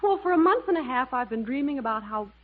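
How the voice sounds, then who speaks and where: Sweet vain young singer, lively high voice, old radio musical comedy. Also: female voice, fast talker. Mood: sweet, disappointed. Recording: thin narrow-band 1950s radio recording.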